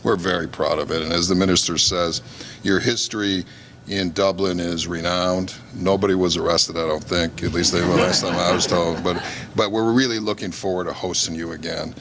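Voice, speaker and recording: A man's voice: sits low, fairly even intonation, moderate pace, noisy background. A man with a Chicago accent, an airline executive giving an upbeat, joking promotional speech at a press conference. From Chicago, USA.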